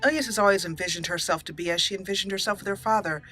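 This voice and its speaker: A woman narrates a roleplay as a gaming host. Her voice is bright and mid-pitched, with drawn-out emphasis and faint music underneath. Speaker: let's play host. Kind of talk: roleplay storytelling over gameplay. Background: faint game music.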